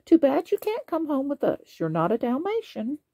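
A woman's voice: high-pitched, huge pitch swings, moderate pace, dark tone, no room sound, clean studio sound, pitched above the performer's own voice, exaggerated voice. A woman voicing a kindly, fond nanny talking to puppies in a children's storybook. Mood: fond, regretful.

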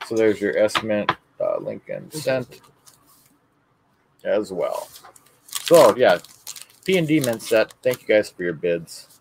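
A man with a low voice hosts a live auction in a casual, folksy manner. His speech has a gravelly fry to it and stays courteous. Faint noise and clicking sit behind him. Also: sing-song, slow pace, long pauses, a little mellow, poor audio. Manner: folksy, courteous, calls bidders buddy and brother. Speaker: auction host showing coins.

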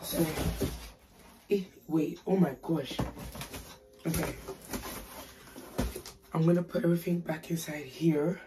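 A young woman with a deep, raspy voice, chatting playfully and slangily to camera in a small bedroom. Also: slow, long pauses, background noise. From United Kingdom. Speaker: haul vlogger. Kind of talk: casual chat to camera.

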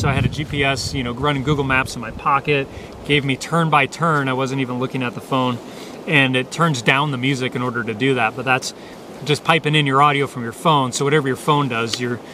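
A man in his thirties giving a casual, unscripted motorcycle gear review in a meandering, hesitant way, outdoors on a camera mic. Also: medium pitch. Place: outdoors in dry grass by parked motorcycles. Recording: noisy outdoor camera recording.

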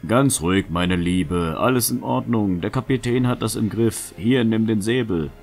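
A man playing a calm, gallant, commanding knight who reassures others in a fantasy audio drama. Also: on the low side, moderate pace, creaky, soft background music. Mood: reassuring.

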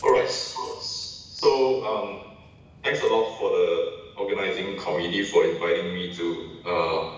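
A man from Singapore giving a keynote lecture over a video call, talking casually with many ums and pauses. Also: deep voice, fairly even intonation, distant mic. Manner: casual, hesitant with many fillers. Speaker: keynote speaker, associate professor. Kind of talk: remote academic lecture. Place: large lecture hall. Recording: video call played over hall speakers, echoey and dull.

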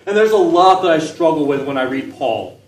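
A middle-aged man preaching a sermon in an open-air park pavilion, with measured delivery and phrases that trail off softly at the ends. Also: a little high, animated, recorded from a distance. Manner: measured preaching with falling, soft phrase endings. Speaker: pastor. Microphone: stand mic, filmed from a distance. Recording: echoey pavilion, voice from off-mic.

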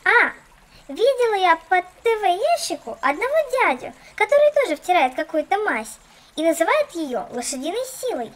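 A cheeky little cartoon doll, extremely high and animated. She sounds skeptical and sarcastic. There is faint noise behind the voice. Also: moderate pace. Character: sassy, skeptical little doll girl, slangy and wry. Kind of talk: children's cartoon dialogue.

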